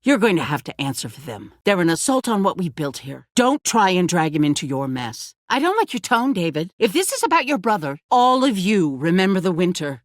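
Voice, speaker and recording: Video game survivor town boss lady, polite but firm, really expressive pitch, dry close sound. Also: brisk, hardly any pauses, no echo. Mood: indignant, accusing, then grave, defensive. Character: poised, commanding woman leader of a survivor settlement. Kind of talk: dramatic video game dialogue.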